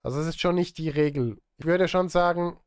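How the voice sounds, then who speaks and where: A man's voice: a little high, brisk, clear, resonant tone, no echo, clean studio sound. A man, chatting casually and conversationally as a livestreamer speaking straight to his viewers. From Switzerland. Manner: conversational, addresses chat directly. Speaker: livestreamer and film music composer. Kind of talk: casual livestream chat while working. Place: indoor home studio.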